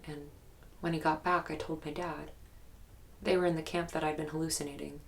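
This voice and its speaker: An actress playing an earnest young woman recounting frightening memories in a halting, guarded, matter-of-fact interview style for an audio drama. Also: sits low. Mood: frustrated, measured. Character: haunted, earnest young woman recalling childhood terror.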